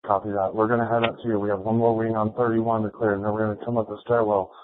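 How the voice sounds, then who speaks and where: A man's voice: fairly low pitch, little pitch movement, quick pace, a little hiss or hum. Male police officer on tinny radio.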